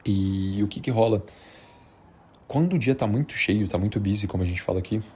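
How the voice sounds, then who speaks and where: A Brazilian man with a fairly low voice talks casually, as a vlogger would. He speaks informally and off the cuff, with slang and a hesitant, rambling flow. Some background noise and ambience sit behind him. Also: brisk, darkish tone. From Minas Gerais, Brazil. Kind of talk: unscripted casual chat to camera.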